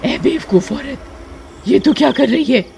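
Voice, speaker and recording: A woman's voice: high voice, expressive, a little slow, gravelly, darkish tone, slight background noise, voice pushed up, exaggerated voice. A woman voicing a menacing, commanding female witch ghost in a cartoon horror story. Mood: angry, scolding. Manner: threatening, commanding.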